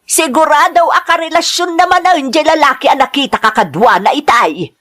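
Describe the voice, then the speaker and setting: Woman playing a scolding, worried older mother, highish voice. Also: a little fast, a bit bright. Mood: disapproving, worried. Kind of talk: Ilocano radio-style drama acting.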